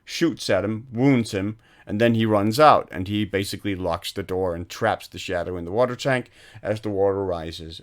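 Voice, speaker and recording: A man co-hosting a film podcast, retelling movie scenes in a rambling, casual way with many restarts and laughs. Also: low voice. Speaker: podcast co-host.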